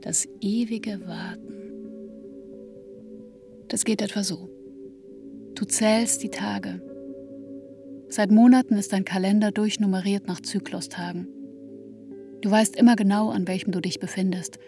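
A woman playing an introspective woman longing for a child, speaking in a measured, hushed radio drama monologue. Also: mid-range voice, fairly even intonation, soft background music, natural voice. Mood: pensive, restless. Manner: measured, hushed, addressing the listener as "you".

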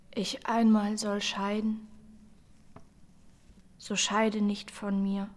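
An actress playing a condemned young mother in a German radio drama, tender and bravely composed, with a halting, breathy, often whispering delivery. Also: medium pitch, a little mellow, close to an everyday voice. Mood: solemn, prayerful.

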